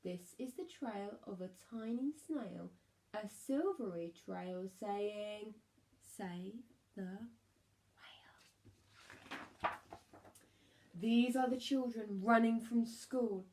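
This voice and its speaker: Woman, medium pitch, slow delivery, expressive storybook narration, emphatic rhymes, primary-teacher style. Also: slight background noise.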